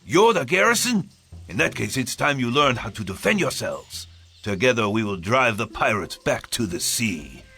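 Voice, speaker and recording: An actor playing a proud, commanding Korean admiral in a video game, speaking in formal declarations. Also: male voice, medium pitch, very animated, moderate pace, bright, forceful tone.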